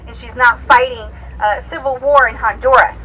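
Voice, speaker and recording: A middle-aged woman from Puerto Rico, an attorney, speaking plainly and earnestly, with pauses and fillers. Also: a little high, steady tone, pushed and bright, rough recording. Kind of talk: rally speech and interview. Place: outdoor street rally. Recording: outdoor rally with street noise.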